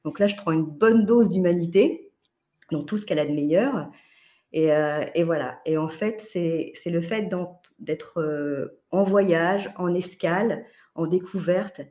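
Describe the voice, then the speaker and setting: A woman in her fifties from France, a podcast guest telling travel stories in a lively, animated way with many restarts and fillers. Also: on the low side, measured pace. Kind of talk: casual podcast chat.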